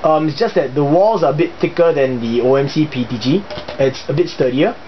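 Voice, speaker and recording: A man's voice: a little high, a little fast. A young man from Singapore with a Singaporean accent, casually explaining his hobby gear in a chatty, hesitant way with many fillers. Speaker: hobbyist video host. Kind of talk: casual show-and-tell explanation. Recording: dull lo-fi home recording with faint hiss.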